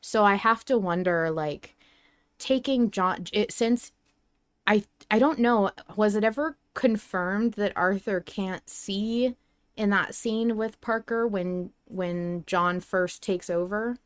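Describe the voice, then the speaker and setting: A woman hosting a podcast in casual discussion, thinking aloud in halting phrases. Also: medium pitch, clean tone, no room sound. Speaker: podcast host.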